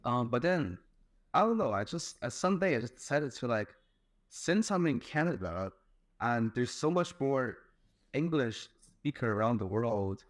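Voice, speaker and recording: A man's voice: mid-range voice, lively intonation, unhurried, no room sound, clean studio sound. A young man from China, chatting as a guest in a hesitant way, full of fillers. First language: Chinese. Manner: hesitant, heavy use of "like" and "um" fillers. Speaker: guest, content creator. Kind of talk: casual online interview chat.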